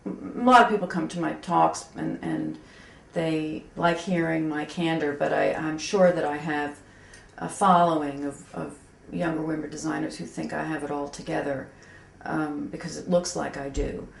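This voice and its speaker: Candid fifty-something woman, low gravelly voice. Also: moderate pace, hardly any pauses. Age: 50s. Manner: self-deprecating, frank, many fillers and restarts. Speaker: graphic designer, interviewee. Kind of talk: candid reflective interview talk. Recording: echoey room with faint background hum.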